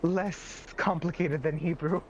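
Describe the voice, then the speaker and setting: Giggly, halting woman on a muffled chat mic. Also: deep voice, fairly even intonation, a little hiss or hum. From Israel. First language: Arabic. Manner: halting, restarts words, giggly. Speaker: streamer's partner and co-host. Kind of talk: casual chat during a game stream.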